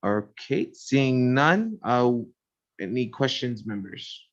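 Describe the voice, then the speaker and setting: A man's voice: mid-range voice, sing-song, slow pace. A man chairing a legislative hearing, calling testifiers in a procedural, matter-of-fact way. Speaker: committee chair. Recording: dull lo-fi audio.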